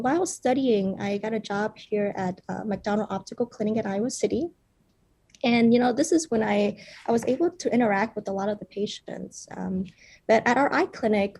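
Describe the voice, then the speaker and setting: A young woman from Vietnam, a startup founder, gives an earnest pitch with frequent fillers. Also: gravelly, dark tone, husky. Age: young adult.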